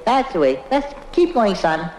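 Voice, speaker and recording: A man's voice: high voice, big pitch swings, unhurried, darkish tone, faint music behind. A man playing a flustered, smarmy children's TV host in a comedy monologue, hesitant and stammering, talking down to children. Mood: falsely cheery. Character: flustered, smarmy male children's TV show host, "Uncle" type. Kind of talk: live comedy monologue, halting letter reading. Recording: echoey noisy old live recording, audience laughter.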